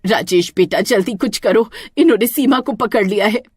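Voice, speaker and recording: A woman's voice: medium pitch, normal speed, a little mellow, breathy edge, no room sound, clean studio sound, close to an everyday voice. A woman voicing an anxious older mother-in-law who pleads and prays for her family in a horror-comedy cartoon. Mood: pleading. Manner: pleading, devout, tearful.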